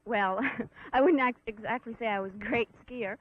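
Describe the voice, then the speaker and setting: A woman's voice: a little high, moderate pace. A young woman with a faint English accent, giving modest, slightly hesitant answers in a casual interview. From England and Canada. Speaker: pageant queen and ski instructor. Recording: tinny 1960s film audio.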